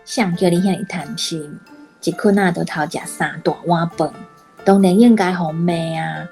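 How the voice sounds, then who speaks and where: Low-voiced teasing Taiwanese sister. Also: female voice, steady tone, normal speed, vocal fry, dark tone, soft background music. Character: teasing adult younger sister. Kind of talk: radio drama acting.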